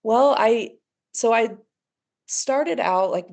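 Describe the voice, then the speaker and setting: A woman's voice: fairly high pitch, lively intonation, takes it slowly, gravelly, darkish tone. A woman in her thirties from Ecuador, a counselor chatting as a podcast guest and laughing often. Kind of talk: casual podcast interview.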